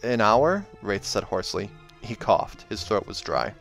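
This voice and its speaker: A man's voice: low-pitched, expressive, normal speed, vocal fry. A man hosting a read-along, narrating a novella expressively with casual asides. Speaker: host reading aloud and reacting. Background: video game soundtrack music.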